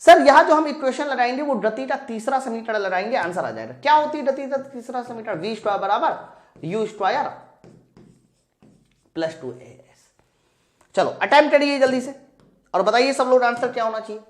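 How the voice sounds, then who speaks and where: A woman's voice: a little high, lively intonation, normal speed, long pauses. A woman teaching an exam-prep science class, emphatically working through questions, prompting students and repeating key points. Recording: roomy echo.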